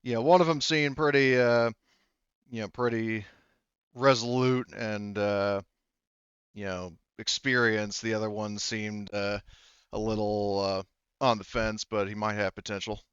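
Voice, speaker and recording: A man playing a hesitant colony security officer in a tabletop roleplaying game. He hedges and reports informally in a smooth, lowish voice, recorded dry with a slightly dull sound. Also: fairly even intonation, fairly bright tone, no room sound. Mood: hesitant, measured. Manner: halting, hedging, informal.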